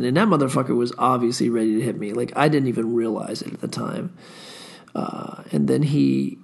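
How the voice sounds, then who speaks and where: Congested guy hosting his own podcast, gravelly raspy voice, swears a lot and just kinda wanders. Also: medium pitch. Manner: casual, profane, wandering storytelling with frequent fillers. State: stuffy nose, under the weather. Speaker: podcast host. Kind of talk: rambling solo podcast monologue.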